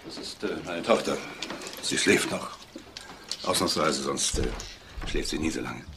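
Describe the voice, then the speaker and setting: An actor playing a stern, controlling middle-aged father in a German crime radio drama, speaking curtly and haltingly. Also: a bass voice, vocal fry, background noise, heavily acted. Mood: tense, grieving. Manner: slow, curt, halting. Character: stern, controlling, grieving father of a grown daughter.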